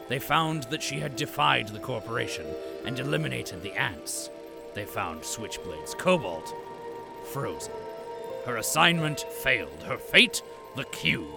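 A man's voice: mid-range voice, creaky. A man playing a stern, pompous corporate propaganda announcer, narrating an audio drama with theatrical menace. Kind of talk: dramatic scripted radio narration.

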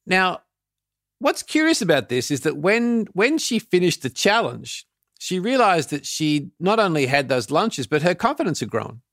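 A man's voice: high-pitched, sing-song, normal speed, fairly bright tone, dry recording, studio quality. A man hosting a podcast, asking curious, thoughtful questions in a conversational way. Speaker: podcast host. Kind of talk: conversational interview.

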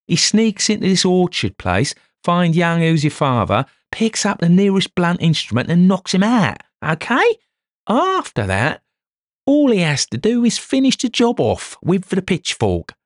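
A man's voice: medium pitch, very animated, normal speed, no room sound, studio quality, voice pushed up. A man voicing a lazy, foul-tempered police detective who grumbles and blusters coarsely. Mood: blustering.

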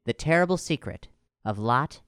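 A man's voice: mid-range voice, expressive, moderate pace, a bit bright, clean tone, no echo, clean studio sound. A man hosting an audio show, delivering a sign-off to listeners. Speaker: host.

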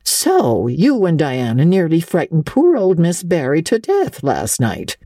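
Storybook village busybody, acted by a woman: older, brisk, emphatic, very animated, slightly husky, fond of admonishing. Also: deep voice, no echo, clean studio sound, pitched above the performer's own voice, full character voice. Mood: gossipy. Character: brisk, gossipy, bossy older village matron. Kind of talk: dramatic audiobook reading of classic novel.

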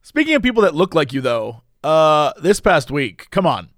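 A man with a high-pitched voice co-hosts a comedy podcast in a loose, rambling style. His delivery swings up and down playfully, and the recording is dry and close. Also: very animated, moderate pace, a bit bright, no room sound. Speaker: podcast co-host. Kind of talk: casual comedic podcast chat.

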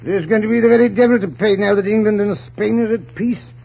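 Stuffy hesitant suitor, high-pitched, husky creaky voice, stammering, fussy, 1940s radio drama, muffled recording. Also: male voice, fairly quick, a little mellow, full character voice. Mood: worried, peevish. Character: dull, stuffy, hesitant older suitor and official.

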